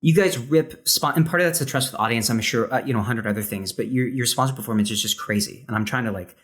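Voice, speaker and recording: A man hosting a podcast, asking questions in a casual, halting and informal way, often repeating words as he goes. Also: mid-range voice, a bit bright. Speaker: podcast host, interviewer. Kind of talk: casual, conversational interviewing.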